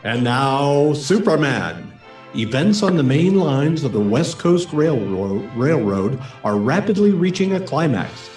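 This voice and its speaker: Male announcer, 1940s radio narration, highish animated voice, theatrical, echoey room. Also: unhurried, far from the mic. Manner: theatrical, suspenseful. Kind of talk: dramatic scripted narration in 1940s radio style. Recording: echoey room, away from mic, faint music.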